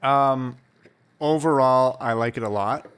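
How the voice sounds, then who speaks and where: A young man from Canada co-hosting a podcast, with a medium-pitched voice. He speaks reflectively and pauses often to find his words, in relaxed casual chat. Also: clear, resonant tone. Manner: thoughtful, reflective, hesitant. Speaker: podcast co-host.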